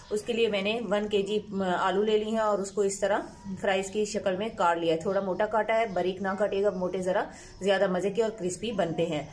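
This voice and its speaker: A woman's voice: mid-range voice, darkish tone, slight background noise. A middle-aged woman hosting a cooking channel, explaining each step of a home recipe patiently. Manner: patient, instructive, homely. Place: home kitchen.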